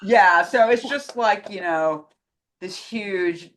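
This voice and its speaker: A woman's voice: mid-range voice, slow. A woman in casual conversation, talking in an enthusiastic, rambling way as a co-host. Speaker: co-host answering fan questions. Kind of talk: casual chat.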